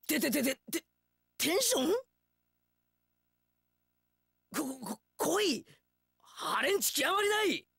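High-pitched, fiery young samurai. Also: male voice, very animated, unhurried, creaky, sharp tone, dry recording, studio quality, heavily acted. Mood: flustered. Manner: archaic warrior speech, bellowing, overwrought earnestness. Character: young hot-blooded male samurai warrior, fiercely loyal and earnest. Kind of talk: Japanese action game voice acting.

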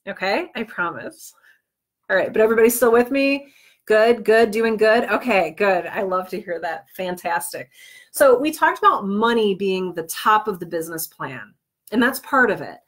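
A woman's voice: mid-range voice, lively intonation, normal speed. A woman hosting an informal livestream tutorial, chatty and given to self-deprecating asides while a bit under the weather. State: under the weather with cold or flu, on medication. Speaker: livestream host teaching podcast business planning.

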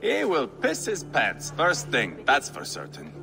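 A brutal scarred Viking warlord, crude and mocking, his voice swinging widely in pitch and dragging out slow. He speaks in a long-echoing hall over a strong game score. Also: huge pitch swings, vocal fry, music in the background, full character voice. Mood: sneering. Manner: crude, taunting, sneering.

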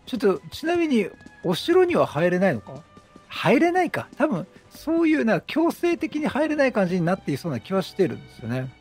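A man's voice: sits high, wildly swooping pitch, a little fast, darkish tone, breathy edge. A man chatting casually as he commentates on a video game, with game music behind him. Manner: chatty, reads dialogue aloud in acted voices. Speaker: let's play streamer. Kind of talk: casual game commentary with improvised character dubbing.

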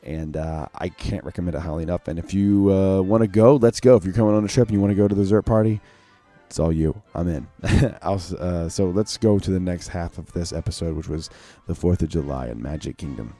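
A man hosting a casual solo podcast in a rambling, enthusiastic way, his voice sounding lower than usual. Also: brisk, mellow, soft background music. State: voice sounding unusually low today. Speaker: podcast host.

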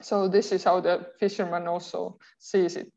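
A woman's voice: lowish voice, flat delivery, moderate pace, gravelly, darkish tone. A woman in her thirties with an Estonian accent, giving an online university lecture with frequent filler sounds and restarts. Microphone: video call. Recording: muffled video call audio.

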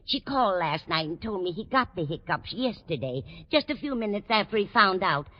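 A woman's voice: medium pitch, sing-song, a little fast. An actress playing a homespun, slightly dotty landlady who rambles earnestly in an old-time radio sitcom. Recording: dull 1940s radio recording.

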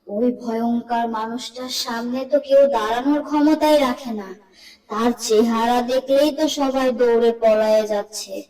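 A fearful, scornful townsperson from a cartoon story, acted by a woman, speaks in a fairly high voice. The delivery is mocking and dismissive. The sound is distant, washed in long reverb. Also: steady tone, unhurried, warm tone, close to an everyday voice. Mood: incredulous, mocking.

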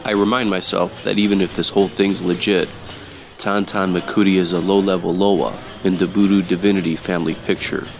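A man playing a wisecracking, sardonic detective, narrating in deadpan, slangy radio noir style. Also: low voice, a little fast, creaky, faint music behind. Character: wisecracking hard-boiled male detective, sardonic and unfazed.

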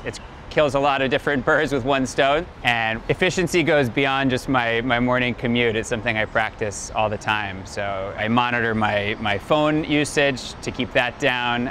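A man's voice: fairly low pitch, noisy background. A man in his thirties talking informally about his life and work, explaining as an executive in a casual interview. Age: 30s. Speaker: company chief executive being profiled. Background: background music.

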